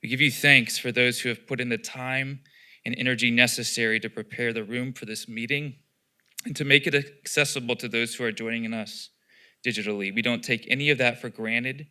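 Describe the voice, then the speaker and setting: Bright, clear-voiced pastor leading a prayer. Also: male voice, medium pitch, steady tone. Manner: measured, earnest, reverent. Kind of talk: public prayer. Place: public meeting room.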